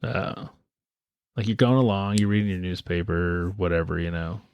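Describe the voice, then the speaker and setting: A man co-hosting a podcast in casual chat, teasing and building up a story with dramatic pauses. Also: deep voice, darkish tone, smooth, dry recording, muffled recording. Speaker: podcast co-host.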